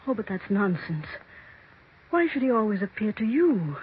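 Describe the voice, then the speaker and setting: An actress playing an elderly, ailing mansion mistress in a 1940s radio drama, halting, troubled and suspicious. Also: female voice, mid-range voice, big pitch swings, husky. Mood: suspicious, bewildered. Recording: narrow, noisy 1940s radio recording.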